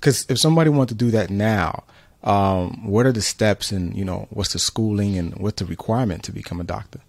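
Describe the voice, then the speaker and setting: Low-voiced male host, dry sound. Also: a little fast, gravelly, no room sound. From New York City. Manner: earnest, reflective questioning. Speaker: interview host. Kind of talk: casual conversational interview.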